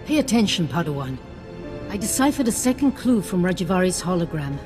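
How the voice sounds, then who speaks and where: A woman's voice: lowish voice, big pitch swings, a little fast, over music. A woman playing a scholarly, single-minded Jedi master in a video game, earnest and instructive. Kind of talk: video game dialogue acting.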